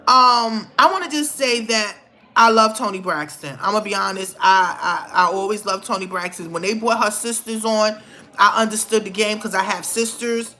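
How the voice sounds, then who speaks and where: Bright-voiced woman scolding in gossip vlog. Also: mid-range voice, normal speed. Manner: blunt, scolding, emphatic with rising outbursts. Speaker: vlogger. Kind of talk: opinionated celebrity gossip commentary.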